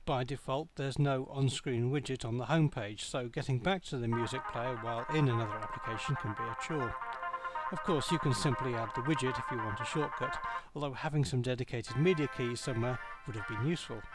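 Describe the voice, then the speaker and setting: A man reading a scripted product review as narration, in a measured, matter-of-fact way. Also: mid-range voice, loud music behind the voice.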